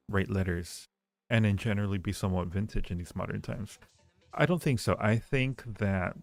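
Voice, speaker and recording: A man with a fairly low voice and plenty of vocal fry hosts a casual advice stream. He talks in a halting, rambling and reassuring way. Faint music plays underneath. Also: no room sound. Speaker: livestream host giving advice. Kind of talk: casual chat, answering listener questions.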